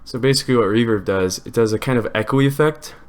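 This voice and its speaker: A man hosting a casual software tutorial, explaining informally step by step. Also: mid-range voice, quick pace, soft background music. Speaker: tutorial host.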